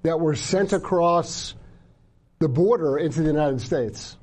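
A man's voice: fairly high pitch, a little slow, a little mellow. A man hosting an interview, speaking emphatically and often repeating words as he starts a sentence. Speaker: interviewer, show host.

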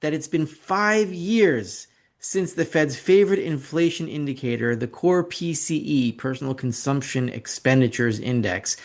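A man's voice: fairly high pitch, a little slow. Opinionated man rambling through market commentary. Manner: opinionated, rambling, sarcastic asides. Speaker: host of an investing video report. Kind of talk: casual market commentary with slides.

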